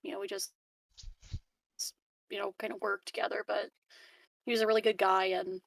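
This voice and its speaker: A woman playing a tabletop roleplaying game, speaking softly and hesitantly with little giggles. Her voice has a gravelly, fry-heavy edge. It sounds dry, with no room around it. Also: medium pitch, level tone, moderate pace, dry recording. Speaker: player. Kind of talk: casual in-character chat in a tabletop game.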